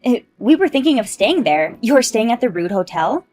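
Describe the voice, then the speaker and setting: A woman's voice: fairly high pitch, very animated, normal speed, darkish tone. Condescending rich mom, put on by a woman; haughty and boastful. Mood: snobbish.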